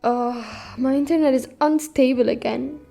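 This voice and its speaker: Casting: woman as geeky teen girl, high-pitched, conversational, for audio drama. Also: steady tone, normal speed, creaky, dark tone, faint music behind. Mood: mildly puzzled. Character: nerdy, cocky teenage girl chatting with online friend.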